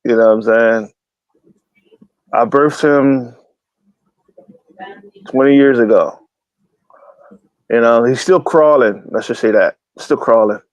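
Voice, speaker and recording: A man hosts a loose live talk show, rambling in heavy slang and dropping curse words as he goes. His voice sits at an ordinary pitch. The sound is dry and close. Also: slow pace, long pauses, no room sound, rough recording. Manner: slangy and rambling, keeps saying "you know what I'm saying"; swears freely and jokes around. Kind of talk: casual streamed chat.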